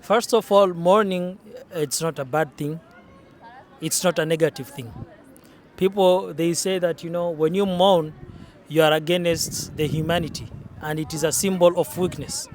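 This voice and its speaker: A man's voice: fairly high pitch, steady tone, a little slow. A young man from Kenya, interviewed outdoors at a shrine, explaining his practices earnestly with frequent restarts. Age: young adult. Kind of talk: casual interview.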